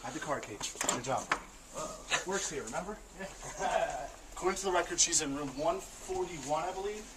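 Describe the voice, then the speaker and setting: An actor playing a brisk man leading friends along in a found-footage web series, casual and natural, in an echoey hallway. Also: on the high side, close to an everyday voice. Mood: businesslike, confident. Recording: noisy, echoey hallway, far from mic.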